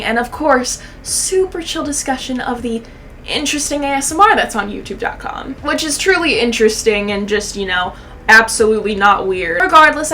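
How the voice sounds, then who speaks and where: A woman's voice: on the high side, fairly bright tone, a little hiss or hum. A young woman giving casual, sarcastic YouTube commentary in slangy, sweary language. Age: young adult.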